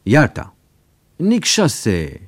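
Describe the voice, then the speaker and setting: Man acting an excitable, shrill Soviet militiaman. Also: takes it slowly, husky, dry recording, full character voice. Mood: agitated, insistent. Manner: clipped foreign fragments, emphatic. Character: excitable Soviet militiaman barking broken German.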